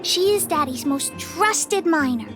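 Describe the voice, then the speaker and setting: Very high-pitched feisty little girl. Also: lively intonation, measured pace, over music. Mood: eager, bossy. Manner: brash, childlike, third-person self-talk. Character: feisty, bossy little girl, self-styled gang leader. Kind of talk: animated video game dialogue.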